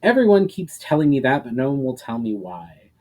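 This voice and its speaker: A man's voice: medium pitch, very animated, brisk, darkish tone. A man voicing an indignant, determined male elf in a fantasy story, insistently demanding answers. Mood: angry, frustrated. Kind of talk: fantasy audiobook dialogue.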